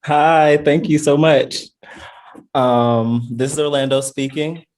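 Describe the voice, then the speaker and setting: Slow, hesitant-sounding man moderator. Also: fairly high pitch, fairly even intonation, a little mellow. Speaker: panel moderator. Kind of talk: casual self-introduction.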